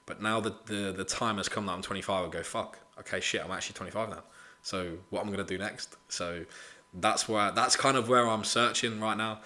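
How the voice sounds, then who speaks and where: A man's voice: on the low side, fairly quick, bright, forceful tone. A man, hosting a casual solo podcast, blunt and motivational, swearing freely and leaning on "you know". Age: 20s. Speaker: podcast host.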